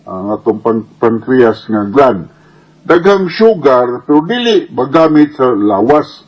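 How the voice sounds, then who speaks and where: Slow-talking Bisaya man giving advice, muffled audio. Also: fairly high pitch, a little mellow. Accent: Filipino, Cebuano Bisaya. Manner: didactic, punctuated with tag questions and code-switching into English medical terms. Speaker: medical advice host answering letters. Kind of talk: casual explanatory advice talk.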